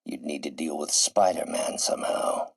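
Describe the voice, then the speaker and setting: A man's voice: rumbling low, steady tone, moderate pace, gravelly, breathy edge, no room sound, heavily acted. A man playing an older scientist turned bitter, vengeful villain in a video game, speaking in a theatrical, precise, erudite way. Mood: cold, scheming. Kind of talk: video game voice acting, dramatic isolated lines.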